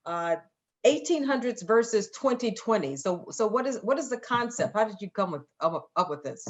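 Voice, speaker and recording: A woman's voice: mid-range voice, lively intonation, moderate pace. A woman hosting a casual, chatty live interview, playful and generous with praise. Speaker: radio interview host.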